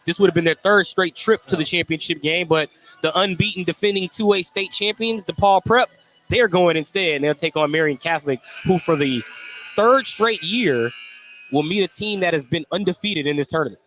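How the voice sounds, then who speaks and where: A man's voice: high-pitched, moderate pace, a bit bright, slight background noise. A man doing live radio play-by-play of a basketball game in clipped, descriptive running calls. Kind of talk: live radio sports commentary.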